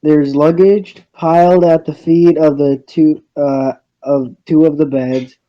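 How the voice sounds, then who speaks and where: A man reading a first-person visual novel story aloud, haltingly and with stumbles over the words. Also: fairly high pitch, steady tone, lo-fi sound.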